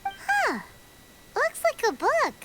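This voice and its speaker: A woman voicing a small, chirpy, curious fairy companion with a squeaky childlike voice in an anime game. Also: huge pitch swings, slow, background noise, heavily acted. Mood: excited. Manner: speaks of self in third person. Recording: game music behind the voice.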